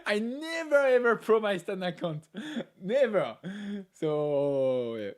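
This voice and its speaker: A man hosting a live stream, chatting casually in English with a non-native accent, laughing often and filling pauses with "uh". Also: high voice, sing-song. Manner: laughs often, talks with many "uh" fillers. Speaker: livestream host.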